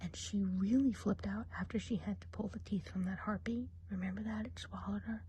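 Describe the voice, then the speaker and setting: A woman voicing a gossipy woodland witch in a breathy, confiding whisper for an ASMR roleplay. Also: medium pitch, steady tone, a little fast. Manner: gossipy, confiding, soft-spoken. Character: gossipy woodland witch giving a whimsical eye exam.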